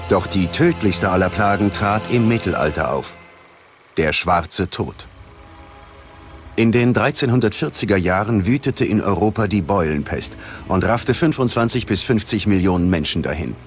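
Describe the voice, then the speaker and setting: A man narrating a scripted documentary in a dramatic, ominous and measured way. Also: deep voice, animated. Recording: music under the voice. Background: prominent background music.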